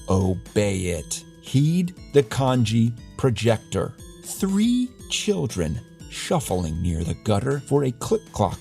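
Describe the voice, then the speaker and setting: A man playing a pompous, deadpan host of a satirical dystopian radio newscast, with florid, arch delivery. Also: on the low side, full character voice. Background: music.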